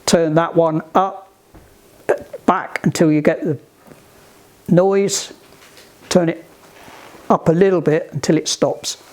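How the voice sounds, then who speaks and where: A man giving informal, practical instruction to a group, halting and often restarting his phrases. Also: a little high, steady tone, a little mellow. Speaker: instructor at a sailing club radio session. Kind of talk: informal instructional talk with questions.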